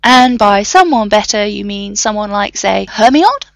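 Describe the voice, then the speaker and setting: A woman voicing a sarcastic male scientist who rants in quick, cutting bursts, pitched somewhat above her own voice. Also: expressive, vocal fry, no echo, lo-fi sound. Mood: knowing. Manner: sarcastic, rapid ranting.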